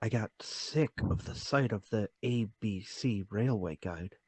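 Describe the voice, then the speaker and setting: A man's voice: fairly low pitch, measured pace, darkish tone, breathy edge, dry recording. A man playing a meek, forgetful, troubled former traveling salesman in a radio play, polite, apologetic and sorry for himself. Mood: bitter, troubled. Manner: polite, apologetic, self-pitying. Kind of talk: radio play table read.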